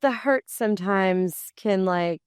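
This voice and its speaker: A young woman in her twenties talks casually as a podcast guest. Her voice is smooth and clear, at a middle pitch for a woman, and she rambles, leaning on "like" as she goes. The sound is dry and close to the mic. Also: slow, no room sound. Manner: rambling, many fillers, acts out voices in anecdotes. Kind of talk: casual conversational interview.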